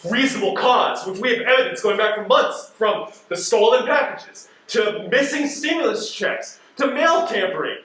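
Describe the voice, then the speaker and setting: Echoey, high-pitched, needling male investigator. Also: animated, sharp tone. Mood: sarcastic, accusing. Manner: insinuating, deliberate, needling. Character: pressuring, sarcastic male postal inspector interrogating a suspect. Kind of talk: stage play acting. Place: theater stage. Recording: very echoey stage, distant microphone.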